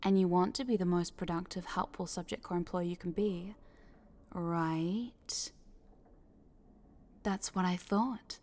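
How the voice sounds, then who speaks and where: A woman's voice: lowish voice, a little slow, long pauses, darkish tone, a little hiss or hum, close to an everyday voice. A woman voicing a scheming, smugly controlling corporate boss in a scripted audio roleplay, coaxing the listener in a condescending, teasing, businesslike way. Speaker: voice actor.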